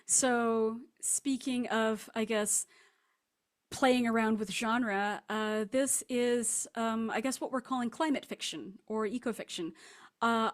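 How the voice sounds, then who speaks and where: A woman speaking casually as a guest author in an interview, rambling and self-deprecating. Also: fairly high pitch. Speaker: guest fiction writer.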